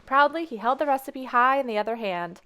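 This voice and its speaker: Expressive woman reading a children's story. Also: high-pitched, brisk, clean tone. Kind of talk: children's story read-aloud.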